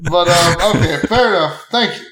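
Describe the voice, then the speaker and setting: A man with a fairly high-pitched voice plays a tabletop game, talking casually through his moves. The room around him is noticeably echoey. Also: animated, moderate pace, a loud crowd.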